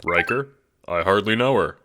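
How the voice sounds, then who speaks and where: A man's voice: lowish voice, expressive, normal speed, background noise, close to an everyday voice. A man voicing a slangy, wisecracking teenage boy in an audio drama, with a casual, flippant way of talking.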